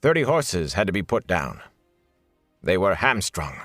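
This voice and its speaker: A man's voice: sits low, a little slow, no room sound, clean studio sound, faint music behind, exaggerated voice. A man voicing a hard, grating, rigid soldier. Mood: stiff, emotionless. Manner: flat, clipped military delivery. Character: gaunt, rigid, hard-bitten soldier reporting to his captain.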